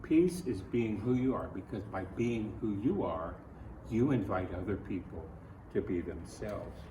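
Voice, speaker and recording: A man's voice: fairly low pitch, lively intonation, a little slow. An older man reading a children's picture book aloud, with folksy, grandfatherly asides spoken directly to young listeners. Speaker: storybook reader. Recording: roomy echo, distant mic, noisy background.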